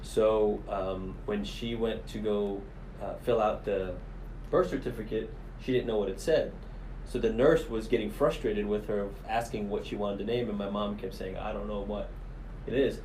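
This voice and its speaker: A man telling stories as a police commander guest in a casual interview. His voice sits a touch low and he takes his time, with frequent ums. The room is echoey, with faint ambient noise behind him. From United States. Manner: relaxed, pauses with fillers.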